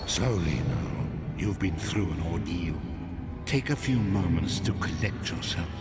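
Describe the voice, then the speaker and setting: A grave, mysterious prophet. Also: male voice, sits low, lively intonation. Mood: solemn. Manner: slow, grave, portentous delivery. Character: mysterious male prophet, calm and solemn. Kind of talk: video game dialogue. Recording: game audio under loud music.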